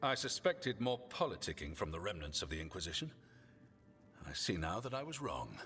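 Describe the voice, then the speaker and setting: An actor playing a stern, dismissive military commander in a video game, speaking with pompous, deliberate authority. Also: male voice, sits low, big pitch swings, pushed and bright, full character voice. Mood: stern, accusing. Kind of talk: dramatic video game dialogue. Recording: long reverb, music behind.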